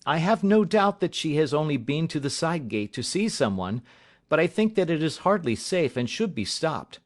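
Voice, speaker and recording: Concerned young woman, soft and dutiful, read by a man. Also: on the high side, sing-song, normal speed.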